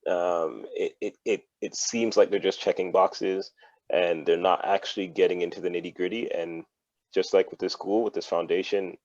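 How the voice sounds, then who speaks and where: A man speaks as a podcast guest, earnest and thoughtful, stumbling over repeated words as he works out his ideas. His voice is very deep. The sound is dry and a little dull. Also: steady tone, normal speed, darkish tone, no room sound. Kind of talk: casual podcast chat.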